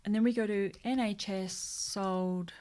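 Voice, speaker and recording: A woman's voice: medium pitch, steady tone, unhurried, a little mellow. Chatty woman hosting a livestream. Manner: chatty, mutters asides to herself while searching; exasperated, joking rants. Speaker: host of a social media news livestream. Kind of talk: casual livestream news commentary.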